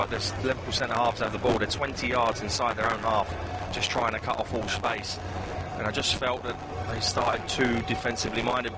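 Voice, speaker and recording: Very noisy live broadcast, male football co-commentator, medium pitch, conversational, opinionated match analysis.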